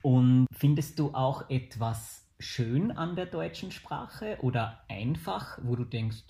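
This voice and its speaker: Interviewer: man, calm and encouraging, warm darker timbre. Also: medium pitch, slow, creaky. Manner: patient, encouraging with frequent mhm. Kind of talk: friendly interview questions.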